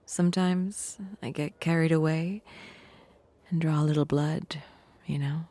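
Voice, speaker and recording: A woman playing a sultry, fanged fiend-blooded courtesan in an audio drama, flirtatious, coy and teasing, with breathy whispered phrase endings. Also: deep voice, fairly even intonation, a little slow, warm tone. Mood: seductive, teasing. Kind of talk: audio drama character acting.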